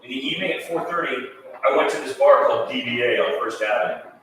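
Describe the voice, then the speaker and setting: A man's voice: medium pitch, animated, fast, a bit bright. A man from India whose first language is Tamil, an artist speaking in a casual interview and stressing his points by repeating them. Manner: emphatic, repeats key points. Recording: echoey room, distant mic, faint hiss.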